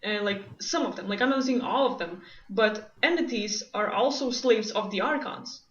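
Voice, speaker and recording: A woman's voice: medium pitch, moderate pace, fairly bright tone. A woman from Lithuania with a Lithuanian accent, hosting a casual conversation and laughing often. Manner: laughs often, many "like" fillers. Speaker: host. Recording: echoey, distant, dull sound.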